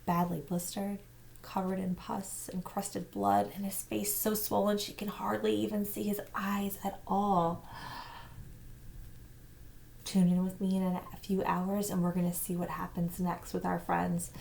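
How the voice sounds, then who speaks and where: A woman, a teacher, reading a children's novel aloud with expressive narration. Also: medium pitch, a little slow, vocal fry, poor audio.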